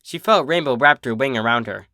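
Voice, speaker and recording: A man reading fan fiction aloud in an expressive storybook narration style. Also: medium pitch, brisk, dry recording, clean studio sound. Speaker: fan fiction reader, channel host.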